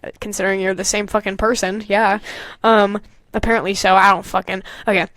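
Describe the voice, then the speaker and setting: A woman's voice: mid-range voice, level tone, brisk, fairly bright tone, no echo. A young woman podcast host casually reading aloud with comedic commentary, swearing freely and mocking her own material in exasperated asides.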